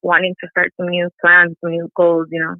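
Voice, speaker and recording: A woman's voice: lowish voice, monotone, fairly quick, no echo. Young woman on a tinny phone line. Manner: frequent "like" and "you know". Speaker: interview guest. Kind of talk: casual chat. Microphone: phone call.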